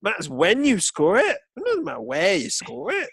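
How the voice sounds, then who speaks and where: A man's voice: high voice, wildly swooping pitch, normal speed, creaky, fairly bright tone, slightly rough, no room sound, studio quality. A man co-hosting a football podcast, chatting casually with emphatic, opinionated and sweary banter.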